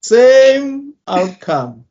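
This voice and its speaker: High-voiced man, slangy podcast chat. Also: very animated, very slow, dark tone, muffled recording. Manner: casual slang, restarts, thinking aloud. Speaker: podcast co-host. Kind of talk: casual chat reviewing a cartoon.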